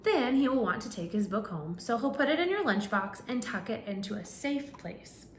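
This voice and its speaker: A woman teacher reads a picture book aloud to young children and stops to ask them questions. Her voice rises and falls with a lot of lively movement. The room is echoey, with a faint steady hum behind her. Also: a little high, normal speed. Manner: animated storytelling with questions to children.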